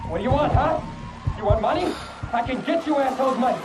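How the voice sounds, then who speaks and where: An actor playing a desperate, defiant captive in a crime game, cursing and shouting frantically. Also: male voice, very high voice, animated, fast, over loud music. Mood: defiant, frantic.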